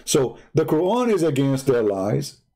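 A man whose first language is Arabic, hosting a heated live stream and hammering at his opponents with mocking rhetorical questions. His voice is dark and a bit husky, with a combative edge. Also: mid-range voice, sing-song, a little slow. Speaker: online debate host.

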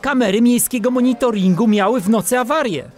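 A man playing an animated junior animal police officer in a children's audio drama. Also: very high voice, fairly quick. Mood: informative.

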